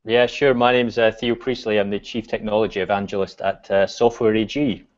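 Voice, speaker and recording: A Scottish man talks in a low, easygoing voice, pausing with frequent ums as he thinks aloud. His voice comes through a video call with a dull, lo-fi sound. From Scotland. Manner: relaxed, many fillers and restarts. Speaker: guest. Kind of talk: casual video chat.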